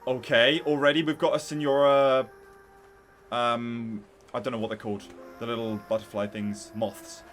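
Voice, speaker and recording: A man streaming a casual, excited live reaction to a game trailer, enthusiastic and slangy. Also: mid-range voice, moderate pace, slight background noise.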